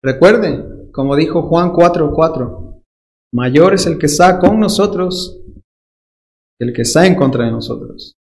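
A man's voice: on the high side, expressive, unhurried, long pauses, a little mellow. A man preaching a sermon with a Dominican accent, emphatic and playful, drawing out key words and mimicking people's excuses. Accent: Dominican Spanish.

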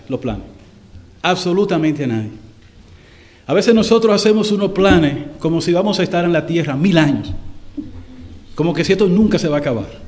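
A man preaching a sermon to his congregation, swelling louder and slowing down for emphasis. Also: sits high. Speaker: pastor.

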